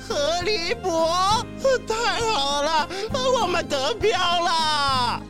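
Very high, naive youngster. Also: female voice, animated, very slow, music in the background, exaggerated voice. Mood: elated. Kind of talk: children's radio drama acting.

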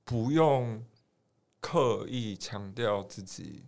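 A man's voice: on the low side, very slow, creaky, darkish tone. A man with a Taiwanese accent hosting a podcast in a casual, conversational style. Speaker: podcast host. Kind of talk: casual chat.

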